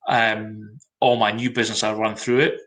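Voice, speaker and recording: A middle-aged Scottish man, a brand consultant, talking in a webinar at a measured pace with frequent ums. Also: on the low side, little pitch movement, a bit bright, poor audio. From Scotland. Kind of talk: webinar talk.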